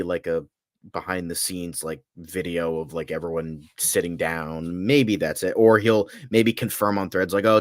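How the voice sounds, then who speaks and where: A man co-hosting a podcast, speculating casually in an informal, halting way. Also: low voice, no echo, studio quality. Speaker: podcast co-host.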